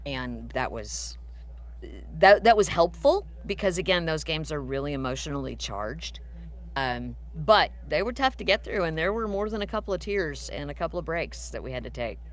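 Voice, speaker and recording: A woman's voice: low-pitched, sing-song, normal speed. A woman voice actress answering fan questions in a casual live Q&A, chatty and full of fillers. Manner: chatty, warm storytelling with fillers. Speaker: voice actress guest.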